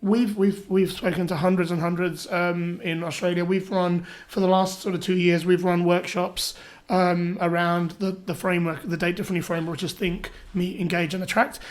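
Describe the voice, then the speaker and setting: A man with a cold, his voice pitched higher than usual, talking as a dating coach in a casual podcast chat, firing off rhetorical questions and repeating words as he starts. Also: level tone, moderate pace. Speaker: dating coach and workshop runner.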